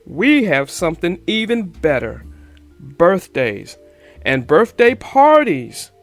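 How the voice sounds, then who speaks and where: A woman voicing a jolly, easygoing papa bear with a hearty, lowered voice. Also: very animated, takes it slowly, soft background music, heavily acted. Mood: warm.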